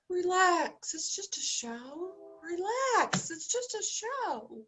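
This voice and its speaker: A woman playing a frazzled, nervous amateur theater director in a web comedy, halting and anxious. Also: very high voice, big pitch swings, bright, forceful tone, a little hiss or hum, heavily acted. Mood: dazed, anxious. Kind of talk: comedic web series acting.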